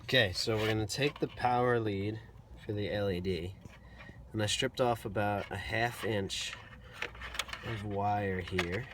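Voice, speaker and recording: A man's voice: lowish voice, a little slow. A man giving a casual, plainspoken step-by-step tutorial, explaining each step as he works. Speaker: DIY tutorial host. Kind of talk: casual step-by-step how-to narration. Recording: clearly noisy hobby video with clicks.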